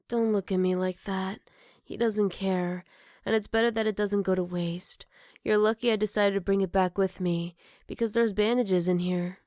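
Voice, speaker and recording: Soft-spoken motherly werewolf played by a woman, very dry. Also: mid-range voice, steady tone, no echo, natural voice. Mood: calm, matter-of-fact. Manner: soft-spoken, hesitant pauses, tender teasing. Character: gentle, caring motherly werewolf woman living in the woods. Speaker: voice actor. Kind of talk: ASMR roleplay addressed to the listener.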